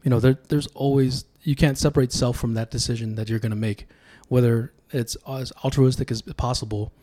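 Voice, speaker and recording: A man's voice: mid-range voice, fairly even intonation, a little fast, dark tone. A man from Sacramento, California, whose first language was Tongan, talking as a podcast guest in a reflective, storytelling way. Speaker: podcast guest, political candidate. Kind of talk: casual podcast conversation.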